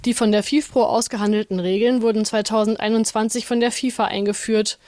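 A woman's voice: on the high side, steady tone, brisk, a little mellow, no echo. A woman reading a scripted sports news report as a radio reporter.

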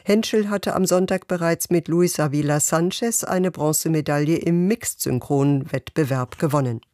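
A woman reads the radio news in a steady, measured voice. The sound is dry and close, with no room around her. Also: low-pitched, no echo. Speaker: newsreader. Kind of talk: scripted radio news reading.

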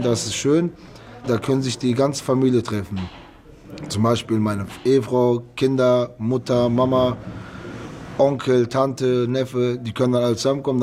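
A man's voice: medium pitch, darkish tone. A man in his mid-thirties speaking casually as an interviewee, in simple colloquial phrasing with articles often dropped. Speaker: prison inmate being interviewed. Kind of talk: casual spoken interview account.

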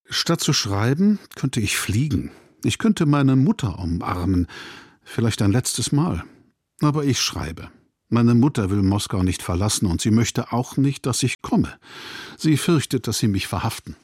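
A man reading a novel excerpt aloud in an intense, halting way. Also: medium pitch, very animated, a little mellow, slightly rough, no room sound, clean studio sound.

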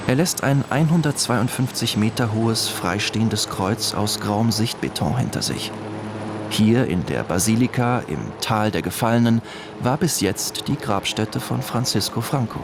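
A man's voice: lowish voice, unhurried, background noise. A man narrating a scripted radio documentary.

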